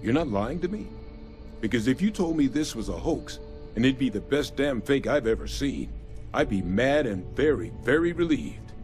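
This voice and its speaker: An actor playing a weary, wry older male scientist in a video game, halting and wary as he talks. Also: mid-range voice, sing-song, lightly acted. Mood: stunned. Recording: loud music under the voice.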